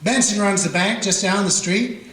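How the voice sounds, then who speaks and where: A man's voice: high-pitched, normal speed, fairly bright tone. A man playing a folksy small-town frontier sheriff who narrates in a drawling, plainspoken way. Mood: reminiscing. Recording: roomy, echoey room.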